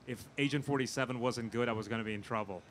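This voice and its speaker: A man speaking as a guest in an informal panel interview, halting and often repeating his words as he thinks aloud. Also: medium pitch, fairly bright tone. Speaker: film producer and panel guest. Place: fan convention event. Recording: noisy live event with background ambience.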